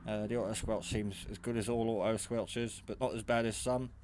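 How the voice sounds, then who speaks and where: A man with a lowish voice talks through a casual product demonstration. He is matter-of-fact and a little hesitant, rambling from one point to the next like a hobbyist reviewer showing how something works. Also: fairly even intonation, normal speed, darkish tone, a little hiss or hum. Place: garage.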